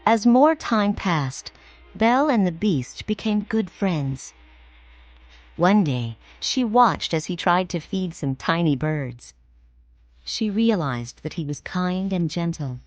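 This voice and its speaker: A woman narrating a children's read-along storybook in a clear, expressive way. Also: lowish voice, no echo. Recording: music playing behind.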